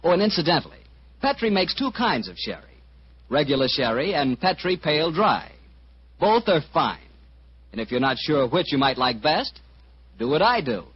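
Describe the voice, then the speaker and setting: A man's voice: on the high side, big pitch swings, normal speed, pauses often. A man with an American accent announcing in polished, salesmanlike 1940s radio style. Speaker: announcer and sponsor pitchman. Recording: muffled, hissy old radio broadcast.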